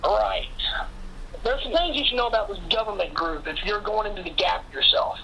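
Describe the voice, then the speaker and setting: A woman's voice: mid-range voice, animated, moderate pace, sharp tone, dull audio, slight background noise. A woman playing a brash, paranoid conspiracy theorist in an audio drama, blunt and urgent, through a telephone filter. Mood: insistent. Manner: urgent, blunt, salty-tongued.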